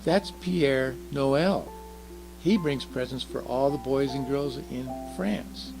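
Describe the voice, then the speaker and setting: A man voicing a curious, earnest little girl in a children's storybook, soft and chatty, asking and explaining eagerly. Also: medium pitch, takes it slowly, gravelly, music in the background, pitched above the performer's own voice, rough recording. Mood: proud, chatty. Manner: eager questioning, explaining carefully. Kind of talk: children's storybook read aloud.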